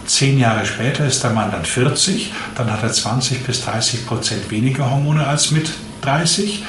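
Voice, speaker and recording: Measured man in his fifties. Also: medium pitch, roomy sound, noisy background. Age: 50s. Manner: measured, explanatory, long flowing sentences. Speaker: doctor. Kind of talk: interview answers.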